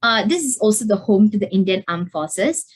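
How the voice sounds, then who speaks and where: A young woman talks through her slides in a student presentation. Her speech is halting and packed with "uh" and "like" as she gathers her thoughts. She sounds like she is on a video call. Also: mid-range voice, animated. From Singapore. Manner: hesitant, many fillers like "uh" and "like".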